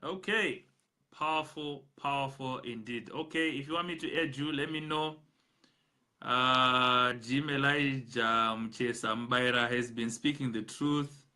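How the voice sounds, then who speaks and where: A man's voice: mid-range voice, fairly even intonation, unhurried, sharp tone. A man with a Zimbabwean accent hosting a live call-in show, reading out viewer comments and mixing English with Shona. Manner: reads out comment names, switches between English and Shona.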